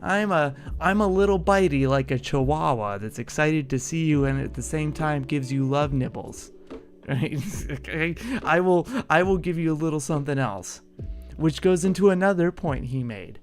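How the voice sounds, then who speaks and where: A man's voice: on the high side, animated, moderate pace. A man hosting a solo podcast, talking in a casual monologue that is punchy and combative, with free swearing.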